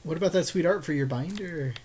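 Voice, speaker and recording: A man's voice: fairly high pitch, brisk, a little mellow, slight background noise. A man co-hosting a podcast, bantering casually in slangy, joking talk during a card game draft. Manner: slangy, jokey. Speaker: podcast co-host.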